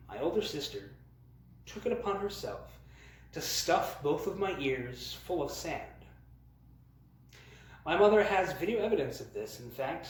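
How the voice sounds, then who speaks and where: A man's voice: medium pitch, a little hiss or hum. Theatrical male storyteller in a very echoey room. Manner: theatrical, mock-grand emphasis with dramatic pauses. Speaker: storyteller, host of a storytime series. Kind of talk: humorous personal storytelling to camera. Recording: very echoey, roomy sound.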